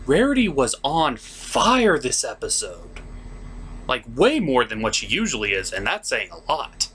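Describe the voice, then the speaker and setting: Animated man reviewing with big pitch swings. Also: a little hiss or hum. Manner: theatrical, sarcastic, exclamatory. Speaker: host of a cartoon review show. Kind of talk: comedic review commentary.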